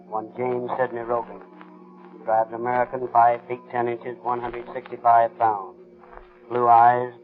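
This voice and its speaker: An actor playing an official, clipped police radio dispatcher reading bulletins in a 1930s radio drama. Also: male voice, medium pitch, close to an everyday voice. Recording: tinny vintage 1930s radio recording.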